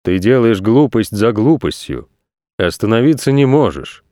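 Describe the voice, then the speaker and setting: Mother planet for a storybook, read by a man: serene, reproving, unhurried, very dry recording. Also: on the low side, no room sound. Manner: calm, measured, reproving. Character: planet Earth, calm, stern, unhurried female being. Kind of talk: children's story reading.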